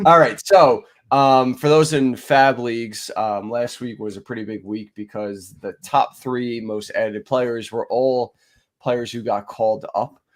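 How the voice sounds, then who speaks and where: A man's voice: medium pitch, fairly even intonation, slow pace. A man with a stuffy, nasal voice from a cold, hosting a casual podcast chat and rambling with lots of fillers. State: congested from a cold. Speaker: podcast host.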